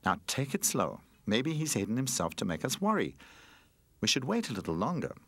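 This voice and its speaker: A narrator voicing a caring, sensible cushion toy in a children's story, steady and admonishing. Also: medium pitch, normal speed, husky, dry recording. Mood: calming.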